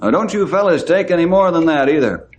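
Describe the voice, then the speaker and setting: An actor playing a steady, commanding ranger in an old radio western, firm and authoritative. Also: male voice, fairly high pitch, a little fast, natural voice. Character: steady, commanding male ranger leading desert party. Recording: noisy old radio recording.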